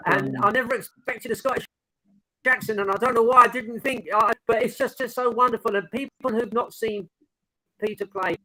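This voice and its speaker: A man praises his guest warmly and at length in a casual chat. His voice is high and bright with a forceful edge. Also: steady tone, normal speed, poor audio. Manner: gushing, admiring.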